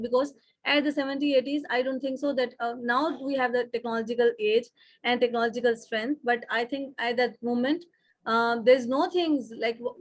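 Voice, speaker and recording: A woman hosting an interview over a video call, speaking in a halting way and searching for her words. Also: on the high side, no room sound, low-quality recording. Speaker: host.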